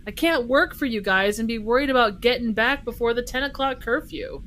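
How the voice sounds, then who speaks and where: A woman's voice: on the high side, brisk, a bit bright, a little hiss or hum, natural voice. A woman playing a cocky, sardonic, foul-mouthed ex-convict gangster in an amateur audio drama. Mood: frustrated.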